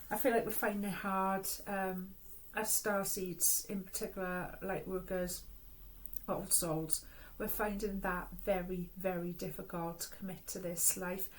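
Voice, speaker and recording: A woman's voice: on the low side, a little hiss or hum, poor audio. A woman with a Welsh accent, giving an earnest, rambling spiritual reading in a casual, reflective way. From Wales. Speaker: spiritual reader. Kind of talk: casual spiritual talk to camera.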